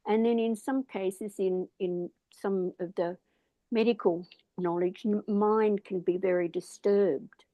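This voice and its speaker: A woman's voice: medium pitch, steady tone, takes it slowly, warm tone, no echo, poor audio. A woman in a casual discussion, talking in a thoughtful, hesitant, rambling way. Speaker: discussion participant.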